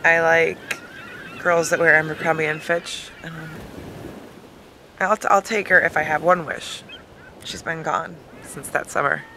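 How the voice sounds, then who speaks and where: A woman in her thirties giving a dramatic, mock-emotional reading of song lyrics, with halting, deliberate pauses, recorded outdoors on a handheld camera. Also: low voice, fairly even intonation, noisy background. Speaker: comedic video host. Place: lying outdoors in a hammock. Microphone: camera held at arm's length.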